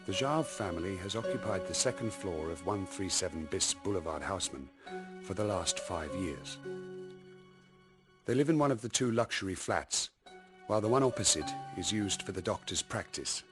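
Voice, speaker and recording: A deep, measured voice reports crime details in a radio play. The delivery is factual and orderly, with faint music underneath. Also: male voice, expressive. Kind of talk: scripted radio drama narration.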